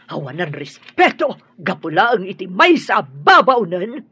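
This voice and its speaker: A woman with a Filipino accent playing a domineering middle-aged mother in an Ilocano radio drama, husky and stern. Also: medium pitch, very animated, moderate pace, fairly bright tone, dry recording, heavily acted. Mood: angry, hurt. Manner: stern, emotional, haughty. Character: domineering middle-aged mother scolding her grown son.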